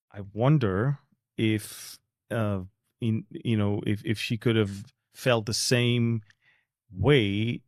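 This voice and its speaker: A man's voice: lowish voice, no room sound, studio quality. A man with an Israeli accent hosting a podcast and interviewing a guest in a conversational style.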